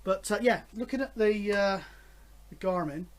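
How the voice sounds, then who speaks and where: A man's voice: sits high, moderate pace. A man in his fifties talking casually and enthusiastically as a hobby vlogger, rambling along with frequent hesitations. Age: 50s. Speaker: host of a radio hobby vlog. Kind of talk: casual, rambling chat to camera.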